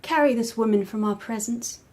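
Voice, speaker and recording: Actress as a high, breathy, imperious young empress. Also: female voice, normal speed, a little mellow, close to an everyday voice. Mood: commanding, disdainful. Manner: haughty, clipped, royal formality. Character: tiny, imperious young empress, cold and cutting. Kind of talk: radio drama acting.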